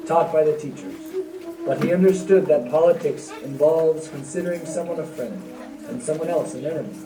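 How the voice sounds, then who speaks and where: A man's voice: on the high side, a little fast. A man narrating a devotional puppet show from a script, as a storyteller. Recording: roomy, distant mic, music behind.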